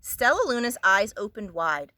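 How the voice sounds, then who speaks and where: A woman's voice: medium pitch, sing-song, normal speed, pushed and bright, dry recording. A woman storyteller reading a children's story aloud expressively.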